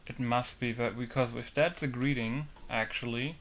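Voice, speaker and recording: A man's voice: medium pitch, fairly even intonation, normal speed, a bit bright. Man muttering through a modding tutorial. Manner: muttering to himself, thinking aloud. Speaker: game modder. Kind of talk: casual tutorial while working.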